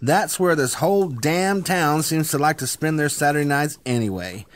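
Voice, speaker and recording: A man's voice: a little high, animated, normal speed, natural voice. A man acting as a bossy, impatient ringleader with grandiose schemes in a comedic radio drama. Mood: excited scheming.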